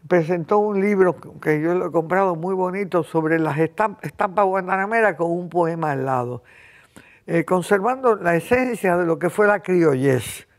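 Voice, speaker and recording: A Cuban woman in her eighties hosting a conversation, talking in an impassioned, rambling way and often clearing her throat. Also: fairly low pitch, lively intonation, normal speed. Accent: Cuban Spanish. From Cuba. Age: 80s. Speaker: host. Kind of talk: casual conversation.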